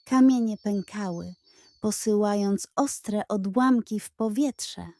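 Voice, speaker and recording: A woman narrating a children's audio story in a dramatic, measured storytelling manner. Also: medium pitch, mellow, dry recording.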